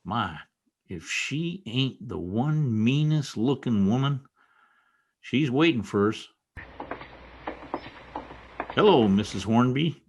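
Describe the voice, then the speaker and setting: Man acting a slow, folksy frontier deputy. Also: mid-range voice, animated, husky, heavily acted. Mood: wary. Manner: folksy rustic phrasing. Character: folksy, simple, loyal frontier deputy sidekick, gently fretful. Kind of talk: old-time radio western acting.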